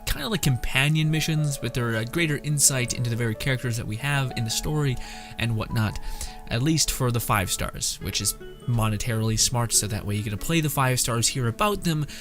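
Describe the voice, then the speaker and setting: A man's voice: mid-range voice, brisk, over music. A man giving casual gameplay commentary as a content creator, rambling and dropping joking asides.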